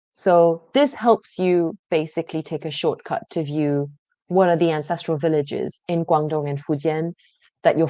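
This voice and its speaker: A woman presenting a genealogy database, explaining each step in a conversational way with frequent pauses. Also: lowish voice, warm tone, dry recording, dull audio. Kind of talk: screen-share database walkthrough.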